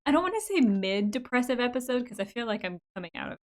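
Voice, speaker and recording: An American woman co-hosting a podcast, chatting casually and expressively and quick to laugh. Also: fairly high pitch, big pitch swings. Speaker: podcast co-host. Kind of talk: casual chat.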